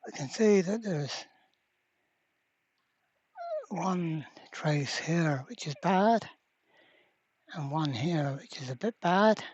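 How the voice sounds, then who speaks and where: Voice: medium pitch, lively intonation, takes it slowly, long pauses, darkish tone, breathy edge. A presenter giving casual hands-on workbench commentary, thinking aloud in a hesitant way. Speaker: repair video presenter. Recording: dull, muffled lo-fi sound.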